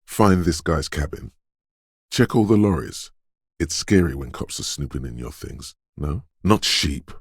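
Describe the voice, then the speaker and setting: A man voicing an alert, streetwise inner voice of quick reflexes in a video game, narrating dramatically and knowingly to the listener, very deep, gravelly and husky. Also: lively intonation, no room sound, studio quality, full character voice. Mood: watchful, suspenseful. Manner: knowing, conspiratorial, addresses listener as you.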